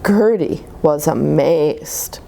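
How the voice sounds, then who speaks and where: Slow, animated woman reading a children's story. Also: deep voice, vocal fry, a little hiss or hum. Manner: expressive, deliberate, drawn-out delivery for young children. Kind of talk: read-aloud picture book storytelling.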